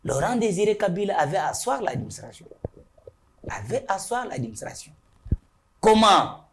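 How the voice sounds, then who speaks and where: A man's voice: high voice, big pitch swings, moderate pace, a bit bright, a little hiss or hum. A man from Kasai, a studio guest making emphatic rhetorical points in a political talk show. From Kasai, DR Congo. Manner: emphatic, rhetorical, repeats points and asks "hein?".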